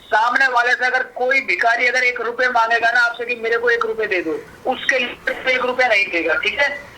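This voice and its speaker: Very high, sharp-voiced man on noisy phone. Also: steady tone, normal speed. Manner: blunt, coarse, self-justifying. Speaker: caller, confronted scammer. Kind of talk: rambling colloquial phone chat. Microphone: phone call. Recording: noisy telephone line.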